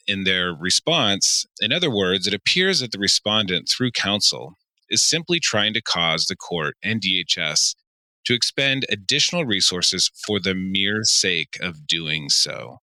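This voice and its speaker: A man's voice: sits low, lively intonation, normal speed, pushed and bright, no room sound, poor audio. A man co-hosting a podcast, telling stories and explaining in a casual way. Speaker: podcast co-host.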